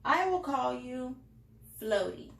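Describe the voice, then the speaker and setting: A woman voicing a grumpy, solitary man with a soft heart from a storybook, in a gruff, complaining manner. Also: sits high, very animated, takes it slowly, a little hiss or hum, exaggerated voice. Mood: softening. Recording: roomy, echoing indoor sound.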